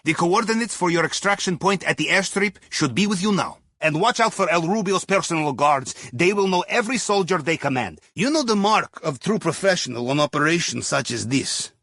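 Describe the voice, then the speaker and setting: A jovial Russian submarine captain for a video game. His voice is bright and husky, recorded close and dry. He briefs his crew in a thick Russian accent, wry and conspiratorial, with folksy asides. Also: fairly high pitch, expressive, fairly quick, no echo, heavily acted. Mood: briefing, focused. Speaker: mission guide giving instructions. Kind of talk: video game mission dialogue.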